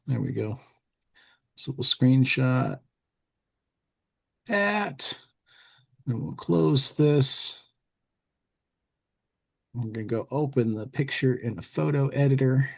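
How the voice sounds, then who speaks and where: A man's voice: mid-range voice, lively intonation, slow pace, gravelly, pauses often, fairly bright tone, breathy edge. A man hosting a casual talk show, thinking aloud as he chats and reads bits from a magazine. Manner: thinking aloud while working. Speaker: podcast host.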